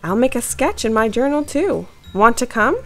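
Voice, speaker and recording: Woman voicing an excitable, adventurous girl mouse, high-pitched. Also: normal speed. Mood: eager. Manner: squeaky exclamations, eager. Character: bold, adventurous young female mouse, excitable.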